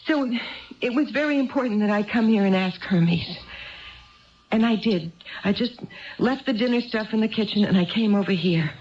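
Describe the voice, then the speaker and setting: An actress in a 1970s radio drama playing a troubled, tipsy wife who confides earnestly and ramblingly in a bartender. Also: female voice, mid-range voice, moderate pace, a little mellow, lightly acted. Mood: earnest, confiding. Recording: dull 1970s radio broadcast recording.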